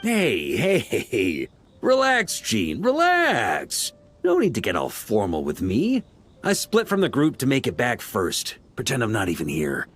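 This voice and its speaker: An actor playing a jovial, easygoing knight grandmaster in an anime game, with a hearty, informal, teasing manner. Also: male voice, medium pitch, wildly swooping pitch, a little slow. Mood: reassuring, warm. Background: background music.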